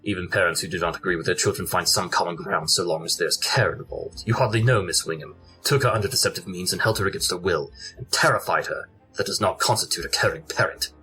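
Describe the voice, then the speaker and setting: A man's voice: sits low, fast, few pauses, over music, close to an everyday voice, poor audio. A man playing a stern, precise detective pony with formal, articulate speech in a cartoon audio drama. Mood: stern, reproachful. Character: stern, precise, brilliant detective pony, Victorian gentleman type.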